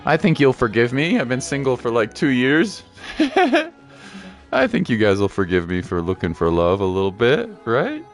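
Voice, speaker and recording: A man chatting casually and encouragingly as he hosts a game livestream, using relaxed slang. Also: mid-range voice, normal speed, faint music behind. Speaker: game streamer and host.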